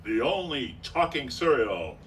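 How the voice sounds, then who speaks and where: A man's voice: mid-range voice, big pitch swings, measured pace, a little hiss or hum. A man announcing and narrating in theatrical old-time radio style. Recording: distant live stage, loud music and crowd.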